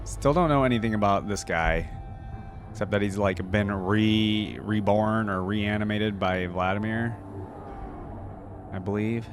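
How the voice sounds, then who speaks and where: A man's voice: fairly low pitch, measured pace. Casual man reacting over background music. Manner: informal, slangy, enthusiastic. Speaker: reaction video host. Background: music from the videos being watched.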